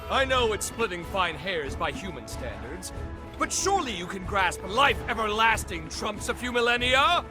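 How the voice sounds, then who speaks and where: Flamboyant, high-pitched immortal scholar. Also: male voice. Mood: lecturing. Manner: pompous, florid, dramatic, lecturing. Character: flamboyant, grandiose male immortal revenant scholar, theatrical and self-important. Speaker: video game character. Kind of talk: theatrical video game dialogue, lecturing exposition. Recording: game music behind voice. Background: game music.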